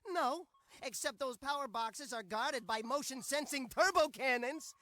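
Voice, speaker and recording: A man's voice: very high-pitched, a little slow, sharp tone, no echo, heavily acted. An actor voicing a fretful male tech genius in a video game, talking in nervous technical rambles. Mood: fretful, rambling. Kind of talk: animated video game cutscene acting.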